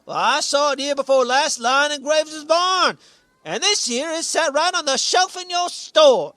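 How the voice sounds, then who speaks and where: A man's voice: extremely high pitch, lively intonation, unhurried, pushed and bright, no echo, exaggerated voice. A man playing a cranky, stubborn old villager in a radio play, querulous and folksy. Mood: scornful. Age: seventies or older.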